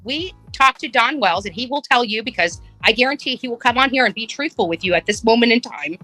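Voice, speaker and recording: A woman's voice: on the high side, expressive, a little fast, bright, forceful tone, faint music behind. A woman in a heated unscripted argument, speaking in a combative, emphatic and insistent way.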